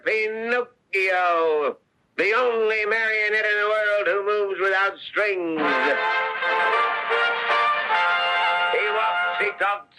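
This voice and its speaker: An actor playing a brash, scheming puppet-show master with declamatory barker patter in a radio drama. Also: male voice, high-pitched, bright, forceful tone, full character voice, rough recording. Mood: booming patter. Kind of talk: old-time radio drama acting. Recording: 1970s radio broadcast, music behind.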